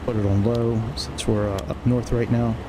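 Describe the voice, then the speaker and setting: A man's voice: mid-range voice, level tone, moderate pace. A man streaming a flight simulator, casually thinking aloud through a checklist in short clipped phrases. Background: cockpit ambience and engine noise from flight simulator.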